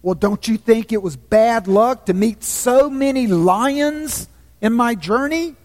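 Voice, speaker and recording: A man's voice: extremely high pitch, takes it slowly, a little mellow. A man voicing a weary, upset orphan boy who complains and asks anxious questions. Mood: plaintive. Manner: plaintive, questioning. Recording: long hall reverb.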